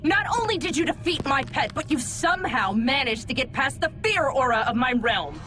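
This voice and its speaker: A woman's voice: sits high, big pitch swings, normal speed, pushed and bright, soft background music, full character voice. A woman playing a menacing, haughty goddess of the underworld in a video game, imperious and theatrical. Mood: cold, commanding. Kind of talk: video game cutscene acting.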